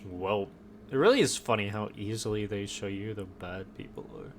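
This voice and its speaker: A man giving casual, laid-back, deadpan commentary while streaming a game. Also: on the low side, normal speed, a little hiss or hum. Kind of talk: casual play-along commentary. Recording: game music behind.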